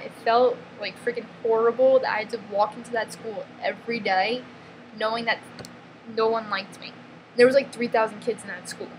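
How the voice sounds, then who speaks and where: A woman from the northeastern US with a New York accent speaks in a slightly high voice, blunt and emphatic, breaking off and restarting her sentences. Steady background noise sits clearly under her voice. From northeastern US, near New York. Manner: blunt, emphatic, peppered with "freaking" and "like"; halting, restarts mid-sentence. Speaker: vlogger. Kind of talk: confessional vlog monologue. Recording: noticeable background ambience noise.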